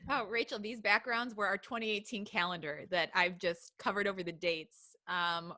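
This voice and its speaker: A woman with a bright, forceful tone, co-hosting a live podcast. She chats casually, laughs a lot and makes bawdy jokes. She grew up in Detroit. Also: mid-range voice, fairly even intonation, normal speed. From Detroit, USA. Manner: giggly, bawdy banter. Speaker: podcast co-host.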